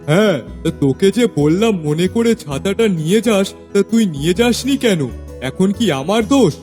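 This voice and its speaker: A man voicing a bossy, impatient master of a village household in a cartoon drama, brisk and scolding as he gives orders. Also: high voice, lively intonation, darkish tone, faint music behind. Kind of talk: animated family drama acting.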